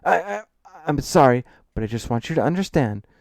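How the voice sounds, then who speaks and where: A man's voice: mid-range voice, brisk, darkish tone, clean tone, dry recording, studio quality, pitched above the performer's own voice, close to an everyday voice. A man voicing an earnest, authoritative accountant in an audiobook, speaking in a firm, assertive way. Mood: flustered. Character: earnest male accountant, authoritative, confident, easily annoyed.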